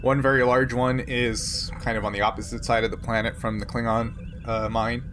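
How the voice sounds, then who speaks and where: A man with an average-pitched voice runs a tabletop game, explaining things informally and narrating as he goes. There is clear background noise and ambience in the recording. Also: little pitch movement, moderate pace. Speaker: game master.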